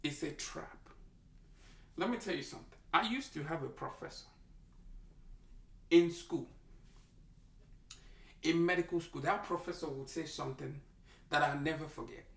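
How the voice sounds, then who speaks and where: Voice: mid-range voice, moderate pace, vocal fry, long pauses, a bit bright. A speaker with a Nigerian accent, a doctor giving an emphatic, preachy health talk to camera and repeating key lines for effect. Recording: echoey room, mic held at a distance.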